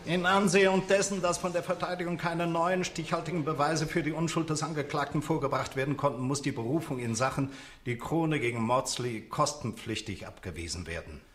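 A man's voice: a little high, brisk, fairly bright tone, natural voice. An actor playing a stern male judge in a radio drama, formal and authoritative. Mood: stern, official. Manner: authoritative, official.

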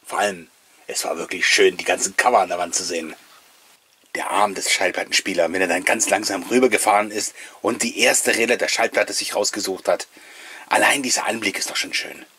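A man's voice: low-pitched, steady tone, brisk, pushed and bright, breathy edge. A middle-aged man giving a casual, nostalgic voice-over commentary, reminiscing with wistful enthusiasm as the host. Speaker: host of a collectors' video channel.

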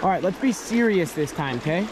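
A young American man vlogging while fishing in a river, excitable and slangy, with loud exclamations, recorded on a head-mounted camera. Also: high voice. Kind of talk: excited outdoor vlog commentary. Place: outdoors, wading in a river. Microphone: head-mounted action camera. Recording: loud rushing river noise.

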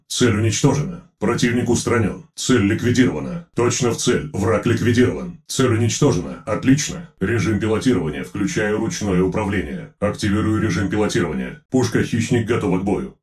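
An actor voicing a heavy male war robot in a game, giving clipped, steady military status reports. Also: fairly low pitch, close to an everyday voice. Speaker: titan AI voice. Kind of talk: game voice lines.

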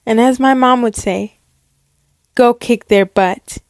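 A woman voicing an earnest, modest young actress in a story roleplay, with a halting, gushing manner. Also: on the high side, dry recording, clean studio sound. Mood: heartfelt, grateful.

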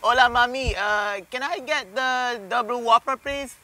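A man's voice: very high voice, normal speed, bright, forceful tone, voice pushed up. A man in his twenties playing a polite, confused customer hesitantly ordering at a drive-thru. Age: 20s. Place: inside a car at a drive-thru, at night. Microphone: camera from the passenger seat. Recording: faint noise inside a car.

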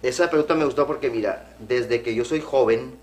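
A man's voice: medium pitch, a little fast, a little hiss or hum. A middle-aged man from Colombia, a guest in a TV studio interview, talking earnestly with restarts on a lapel mic. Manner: earnest, gestures, restarts phrases. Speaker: program guest. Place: TV studio set.

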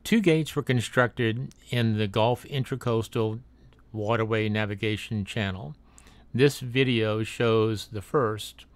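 Lowish man reading a dry voice-over. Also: slow, no echo. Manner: reads a factual text, with small slips and restarts. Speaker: narrator of a drone video. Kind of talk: read-aloud documentary narration.

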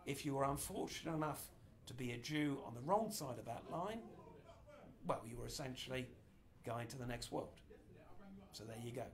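Middle-aged man giving an animated history talk. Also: medium pitch, moderate pace. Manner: animated, gesturing broadly while explaining. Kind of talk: informal lecture. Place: small indoor meeting room.

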